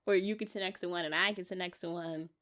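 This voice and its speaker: A woman's voice: fairly low pitch, fairly even intonation, fast, fairly bright tone, close to an everyday voice. A young American woman playing an eager, affectionate wife in an intimate ASMR romance roleplay, rambling and coaxing in a giggly way. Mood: playful, musing.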